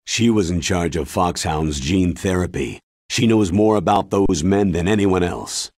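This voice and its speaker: Aging ex-army officer for a game: low, rough-edged American voice, solemn and sincere, dry studio sound. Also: male voice, darkish tone, no echo. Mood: businesslike. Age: older. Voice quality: deep husky. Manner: grave, measured, earnest. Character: weary, earnest old retired male military commander. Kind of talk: video game cutscene acting.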